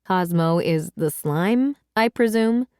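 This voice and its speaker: A woman's voice: on the low side, lively intonation, takes it slowly, a little mellow, clear, resonant tone, dry recording, clean studio sound, pitched below the performer's own voice. A woman voicing a stern, skeptical mother in a children's story read-aloud, reproachful and emphatic. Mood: dry, skeptical. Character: stern, skeptical mother scolding her young daughter.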